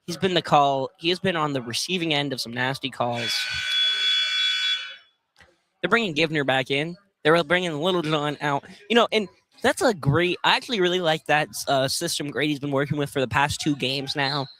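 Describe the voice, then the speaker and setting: A man's voice: fairly high pitch, normal speed, bright, forceful tone. A man giving conversational color commentary on a live basketball game. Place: college field house during a game.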